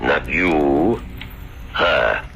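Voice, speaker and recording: A man's voice: very deep voice, expressive, extremely slow, gravelly, fairly bright tone, full character voice. An actor playing a sly, lecherous older creditor in a radio drama, wheedling and drawing out his insinuations. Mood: leering, suggestive. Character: sly, lecherous, domineering older male wine-loving creditor. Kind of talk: 1970s radio drama acting. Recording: dull vintage radio recording.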